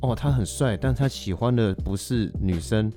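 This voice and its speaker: A man's voice: lowish voice, moderate pace. A man with a Taiwanese Mandarin accent, talking casually as a podcast guest and explaining his views through examples. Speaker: guest, actor.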